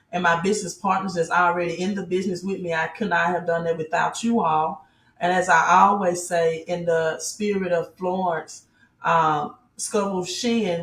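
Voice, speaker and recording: A woman giving an off-the-cuff motivational sales pitch as a business promoter, emphatic, repeating key phrases and asking rhetorical questions. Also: echoey room. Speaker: business promoter and team leader giving an online presentation.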